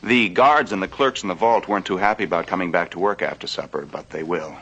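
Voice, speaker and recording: An actor playing a bank aide reporting in a matter-of-fact way, in an old western TV drama. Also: male voice, low voice, quick pace, a bit bright, slightly rough, exaggerated voice. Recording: dull old TV soundtrack.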